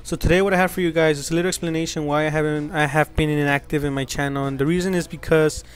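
A man's voice: on the high side, fairly even intonation, fast talker. A young man from Mexico, hosting his gaming channel, talking casually and earnestly over gameplay, rambling with many fillers and restarts. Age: young adult.

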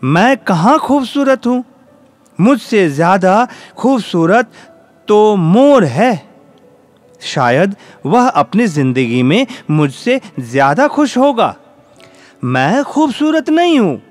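A man's voice: high voice, sing-song, slow, dry recording, faint music behind, full character voice. A man voicing a modest, discontented parrot in a children's fable, plaintive and self-doubting. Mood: plaintive, envious.

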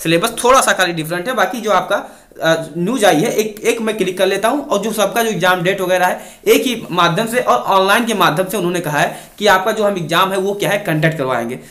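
A man's voice: high-pitched, brisk, a bit bright, reverberant. A young man giving an informal, instructive explainer to students, repeating key points. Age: young adult. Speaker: exam-news YouTuber and coach. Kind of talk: informal explainer addressed to exam aspirants.

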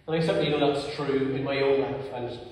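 A young clergyman from Northern Ireland who has a stammer, preaching an earnest, personal sermon with slow, weighty pauses in a church sanctuary. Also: medium pitch, steady tone, darkish tone. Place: large church sanctuary, indoors. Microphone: far camera. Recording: echoey church from far off.

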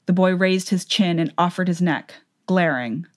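A woman's voice: fairly low pitch, moderate pace. A woman narrator reading a fantasy tale in a scripted, dramatic audiobook style.

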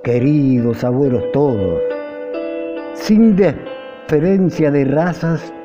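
An older Argentine man reciting a nostalgic poem in a measured, declamatory way, with phrases that rise and fall. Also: fairly high pitch. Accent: Argentine Spanish. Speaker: poetry reciter. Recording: dull lo-fi recording with music. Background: music behind the voice.

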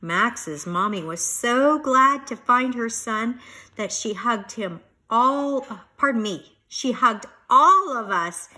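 Woman, middle-aged, expressive teacherly storybook read-aloud, unhurried and very lively in pitch. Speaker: children's storybook reader. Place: living room at home, indoors.

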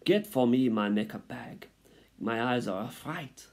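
A man's voice: medium pitch, very animated, takes it slowly, gravelly, darkish tone, voice pushed up, heavily acted. A man voicing a vain, melodramatic French mother mouse in a storybook, lamenting theatrically in broken phrasing. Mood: imperious, vain.

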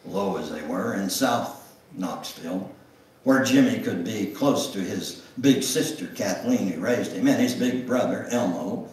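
Older guy reading a story out loud real slow in a big echoey church, kinda far from the mic. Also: fairly low pitch, expressive. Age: older, 70s or above. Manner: slow, deliberate, with pauses. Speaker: guest speaker reading his memoir. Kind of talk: dramatic memoir reading to a congregation. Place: church sanctuary, standing at music stand. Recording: echoey church room, distant microphone.

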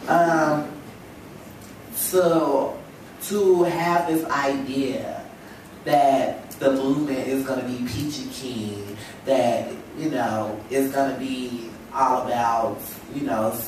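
A woman's voice: very deep voice, steady tone, slow pace, background noise. Brief: young woman, stuffy head cold, impassioned panel speaker, heard distantly in a very echoey hall. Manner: emphatic, rhetorical, lots of "you know". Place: lecture hall stage at a table. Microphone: table stand mic. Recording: echoey hall, mic from far off.

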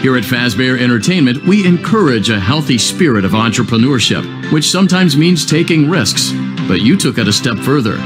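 Male cartoon corporate announcer, played by an actor: smug, mock-congratulatory salesman, very lively intonation over music. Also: medium pitch, normal speed, lightly acted. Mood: cheerfully condescending. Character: cheery, smug male company announcer, cheerfully insulting. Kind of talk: upbeat retro corporate cartoon narration.

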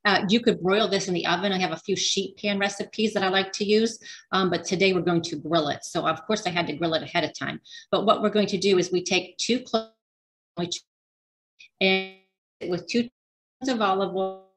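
A woman's voice: mid-range voice, moderate pace. A woman teaching a casual cooking demonstration, chatty and rambling with frequent ums and restarts. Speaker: dietitian cooking instructor.

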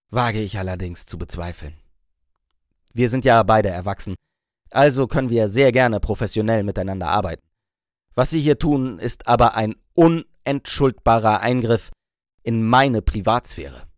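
A man's voice: fairly low pitch, dry recording, studio quality, natural voice. Man reading as a stern, haughty career woman. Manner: stern, condescending, measured. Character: young confident career woman, cutting, commanding, haughty.

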